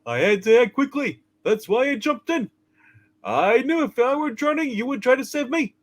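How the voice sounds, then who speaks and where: A man's voice: very high-pitched, sing-song, normal speed, exaggerated voice. A man voicing a kindly, fussy, eccentric old guardian angel in a hammed-up radio play reading, with chirpy, theatrical politeness. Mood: earnest, fussy. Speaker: channel co-host.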